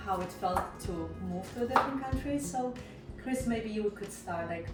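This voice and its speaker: A woman from Poland with a Polish accent hosting a casual kitchen chat and asking questions. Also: lowish voice. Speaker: host. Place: indoor kitchen with chopping and clattering dishes. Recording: roomy kitchen, distant mic, music underneath.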